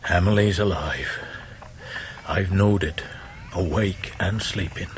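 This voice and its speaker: An older fisherman, low-voiced and slow. He is humble and earnest, speaking in rustic dialect as in a Victorian radio drama. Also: slight background noise. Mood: earnest grief. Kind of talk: period radio drama acting.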